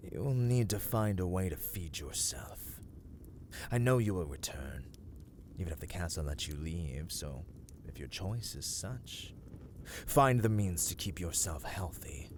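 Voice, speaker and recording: A man playing a brooding, self-loathing vampire in a dramatic ASMR roleplay, speaking in a formal, archaic, hushed and breathy manner. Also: low-pitched, a little hiss or hum. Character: brooding, self-loathing male vampire, menacing yet conflicted.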